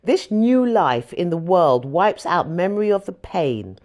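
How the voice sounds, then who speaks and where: A woman narrates scripture as an audiobook reader. Her voice sits a little low and moves through a wide, animated range of pitch. The delivery is scripted and expressive, in the manner of a storyteller. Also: a little slow.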